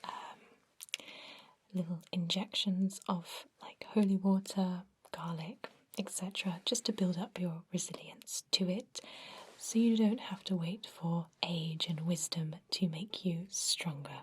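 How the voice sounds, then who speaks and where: A woman whispering softly and reassuringly in an ASMR roleplay as a kindly vampire doctor. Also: lowish voice, steady tone, husky. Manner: soft, reassuring, unhurried whisper. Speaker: ASMR performer playing a doctor.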